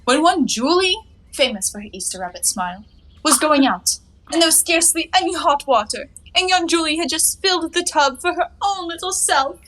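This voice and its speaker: Casting: high-pitched young woman, flippant and teasing, lofty airs, twenties stage-comedy delivery. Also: animated, normal speed, fairly bright tone, rough recording. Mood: mock-grand teasing. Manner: airy, teasing, mock-grand phrasing. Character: playful, cheeky, carefree young woman lounging in her bath. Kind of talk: theatrical 1920s stage comedy acting.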